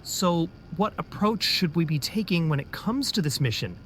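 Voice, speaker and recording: A man's voice: fairly high pitch, animated, normal speed, fairly bright tone, a little hiss or hum. A man playing an anxious, doubting captain. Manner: anxious, hesitant objections. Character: doubtful young male captain.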